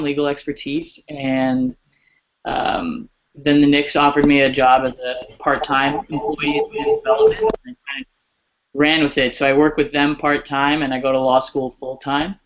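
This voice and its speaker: A man's voice: fairly high pitch, fairly bright tone. A man in his twenties, an alumni guest, talking casually and rambling with restarts about his career on a video call. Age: 20s. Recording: muffled video-call audio.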